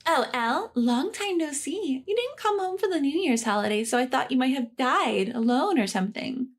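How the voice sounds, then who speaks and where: A woman's voice: high-pitched, expressive, normal speed. A woman voicing an entitled, snide sister-in-law who talks down to others. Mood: condescending. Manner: condescending, needling.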